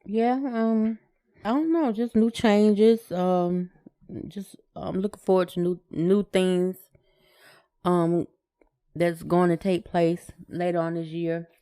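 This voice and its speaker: Mellow, smooth, lowish-voiced woman podcaster. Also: fairly even intonation, slow. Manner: brief, low-key, agreeable replies. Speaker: podcast co-host. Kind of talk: casual conversational podcast chat.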